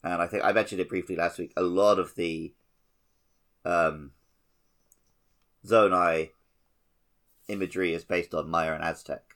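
A man's voice: low-pitched, steady tone, measured pace, long pauses, a bit bright. A man co-hosting a podcast, chatting casually about a video game. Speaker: podcast co-host.

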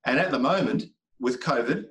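A man's voice: mid-range voice, normal speed, a bit bright. A man, a sales trainer talking casually as a podcast guest, emphatic and repeating words for effect. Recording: roomy, distant from the mic.

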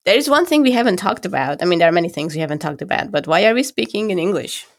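A woman with a Hungarian accent speaks in a low, gravelly register. She talks slowly and reflectively, often stopping to search for the right word. The conversation has a relaxed, at-home podcast feel. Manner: thoughtful, searching for words with restarts. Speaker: podcast co-host. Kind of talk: casual conversation.

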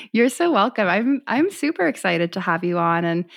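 A woman's voice: medium pitch, big pitch swings, normal speed, gravelly, dry recording, clean studio sound. A woman hosting an interview podcast in an effusive, emphatic, enthusiastic way. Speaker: podcast host.

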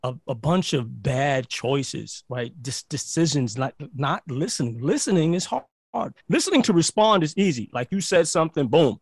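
A man's voice: a little high, moderate pace, few pauses, slightly rough, no echo. A man from Barbados, a podcast guest, telling stories in casual chat with lots of restarts and "like". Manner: animated storyteller, repeats words and says "like" often.

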